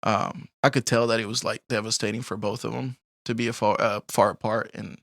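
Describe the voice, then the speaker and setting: Chatty young man with a gravelly edge. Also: fairly low pitch, little pitch movement, fairly quick, fairly bright tone, dry recording, studio quality. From Colombia. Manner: rambling, laughs often, many fillers like "like" and "you know". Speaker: podcast guest. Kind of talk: casual life-story interview.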